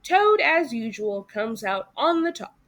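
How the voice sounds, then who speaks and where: Woman voicing a boastful, high-pitched storybook toad. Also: very animated, measured pace, a bit bright, exaggerated voice. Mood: elated, gloating. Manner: dramatic, exclamatory. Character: boastful, reckless, conceited male toad from a storybook.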